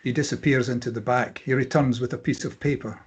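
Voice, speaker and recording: A man with a husky voice reading scripted stage directions as narrator at a table read. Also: medium pitch, fairly even intonation, fairly quick, highs cut off.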